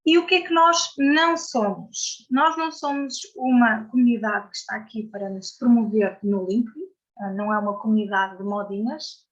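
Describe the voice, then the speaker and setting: A woman's voice: on the high side, normal speed, dull audio. A woman hosting an online community session, filling her sentences with hesitant "então" and "ah". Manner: hesitant, many "então" and "ah" fillers. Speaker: community organizer and host. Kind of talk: opening remarks. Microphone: video call.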